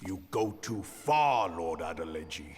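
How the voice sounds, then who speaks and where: An actor playing a fiery, commanding general in a fantasy video game, blunt and indignant. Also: male voice, low voice, very animated, a little slow, a little hiss or hum. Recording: long hall reverb.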